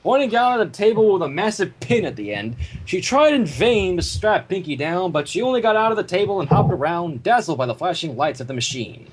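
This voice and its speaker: A man's voice: high-pitched, very animated, a little fast, few pauses, slight background noise, rough recording. A man reading fan fiction aloud as narrator, animatedly, with casual asides. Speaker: story reader.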